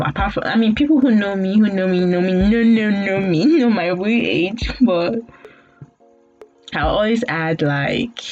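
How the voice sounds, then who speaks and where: A Nigerian woman in her late twenties talks casually as a podcast host, rambling and often laughing at herself. Her voice sits a little low for a woman. The recording is dull and lo-fi, with soft music underneath. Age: late 20s. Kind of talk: casual storytelling monologue. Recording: dull lo-fi audio with faint music.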